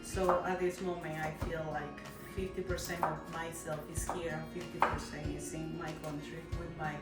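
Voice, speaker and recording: A woman's voice: deep voice, level tone, normal speed. A woman from Peru with a Peruvian Spanish accent, casually talking through her cooking as a native Spanish speaker. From Peru, mountain region. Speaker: home cook showing a dish. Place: indoor kitchen with chopping and pots. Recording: echoey kitchen, distant mic, loud music underneath.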